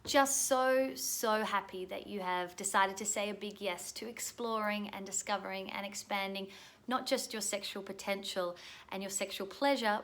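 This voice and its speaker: A woman coach talks informally and with real passion, her voice hoarse and fraying at the edges. She sits in a middle pitch and keeps turning statements into gentle questions. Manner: earnest and passionate, often ending with a questioning "yeah?". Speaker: pleasure coach. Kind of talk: informal motivational video talk.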